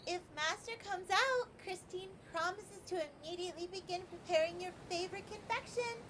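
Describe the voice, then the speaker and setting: A woman's voice: extremely high pitch, moderate pace, fairly bright tone, slight background noise. A woman voicing a timid young fox-girl servant in an audio roleplay, with a childlike, meekly pleading manner. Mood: pleading, distressed. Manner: childlike, third-person, meek pleading. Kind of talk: roleplay audio drama acting.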